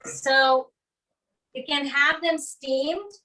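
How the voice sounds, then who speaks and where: A woman's voice: high-pitched, drawn-out words, bright, forceful tone. A woman demonstrating a recipe on a video call, enthusiastically describing each step. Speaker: home cook demonstrating a recipe. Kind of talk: cooking demonstration. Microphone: distant mic on a video call. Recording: distant mic on a video call.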